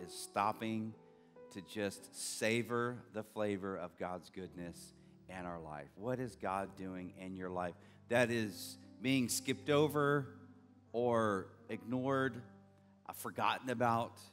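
A man's voice: on the low side, takes it slowly. A middle-aged man, a pastor preaching a conversational sermon from a stage with a handheld mic, earnest and building to emphatic bursts. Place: large church stage. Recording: long reverb of a church hall, faint music.

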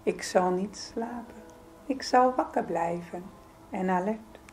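Female meditation guide, mid-pitched and soothing, taking her time through calm instructions, slight noise behind the voice. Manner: soothing, gentle, unhurried with pauses. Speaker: yoga nidra meditation guide.

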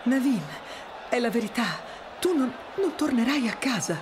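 Woman playing an older queen, lively intonation. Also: a little high, moderate pace, background noise, close to an everyday voice. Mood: surprised. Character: older queen, gracious doting mother. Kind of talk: animated game dub.

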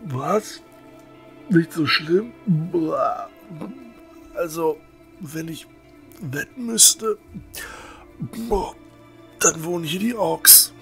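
A man's voice: fairly high pitch, drawn-out words, long pauses, fairly bright tone, heavily acted, low-quality recording. A man playing a squeamish, naive young novice priest in a fantasy audio drama, whiny and hesitant. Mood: disgusted. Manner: whiny, hesitant, easily disgusted. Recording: background music under voice.

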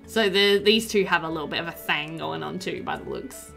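A woman's voice: sits low, a little fast, gravelly, a bit bright. A young adult woman giving casual, chatty commentary while playing a video game. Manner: chatty, reads game dialogue aloud playfully. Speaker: gaming video host. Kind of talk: casual let's play commentary. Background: game music.

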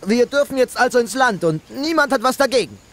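A man's voice: very high voice, lively intonation, a little fast, a bit bright, full character voice. A man voicing a brash, cocky, dim young soldier hungry for gold in a German-dubbed video game cutscene. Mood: puzzled. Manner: brash, slangy, flippant.

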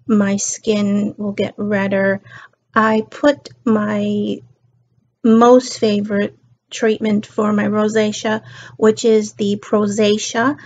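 A tired middle-aged woman with a slightly raspy voice, chatting casually on her couch into a webcam and drifting between topics. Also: medium pitch, level tone, slow, a little mellow. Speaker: beauty and lifestyle vlogger. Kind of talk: casual chat to camera. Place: living room couch, indoors. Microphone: built-in webcam mic. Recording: dull lo-fi webcam audio.

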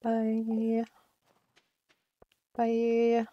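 A woman's voice: on the high side, completely flat delivery, very slow, muffled recording. A woman chatting casually on a live game stream, thinking aloud in a self-deprecating way.

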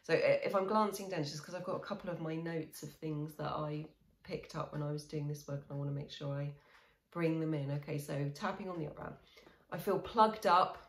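A woman in her forties guiding a tapping session, speaking slow, soothing, repeated affirmations with sighs. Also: deep voice, fairly even intonation. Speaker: EFT tapping coach. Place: small room indoors. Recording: echoey small room.

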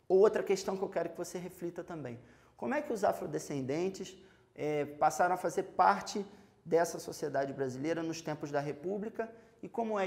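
A young Brazilian man with a fairly high voice teaches history on camera, speaking straight to his students. He moves around a chalkboard as he talks, explaining step by step with plenty of fillers, into a clip-on mic in a studio. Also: vocal fry, a little mellow. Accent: Brazilian Portuguese. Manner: explanatory, addresses students directly, frequent fillers; walks and gestures while talking. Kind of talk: televised school lesson.

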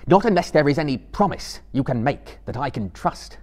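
A man playing a stern, fair-minded hobbit leader who speaks with deliberate command in a dramatic fantasy reading. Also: medium pitch, sing-song, darkish tone, voice pushed up. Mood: firm.

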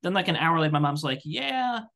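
A man's voice: fairly high pitch, lively intonation, a little fast, gravelly, bright, forceful tone. A man telling casual anecdotes as a podcast co-host, acting out the quoted dialogue. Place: indoor room on a video call.

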